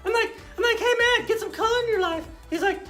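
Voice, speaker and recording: Very high-pitched perky little girl puppet. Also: fast, a bit bright, soft background music. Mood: chatty, cheeky. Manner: chatty, interjecting, repeats words eagerly. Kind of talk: puppet show banter.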